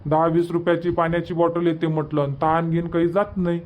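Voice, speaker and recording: A man's voice: on the high side, flat delivery, fairly quick, highs cut off, natural voice. A man voicing an impatient, bossy village family man in a cartoon comedy, rambling in colloquial Vidarbha Marathi.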